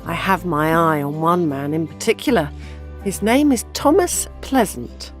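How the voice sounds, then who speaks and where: An older mother, newly widowed, in an audio play. Her voice moves expressively across a wide range, tender with grief and quietly meddling as a matchmaker, with music in the recording. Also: fairly low pitch, very animated, a little slow. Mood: brisk, nudging. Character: grieving widowed mother, wistful, gently scheming matchmaker. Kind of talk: audio drama acting.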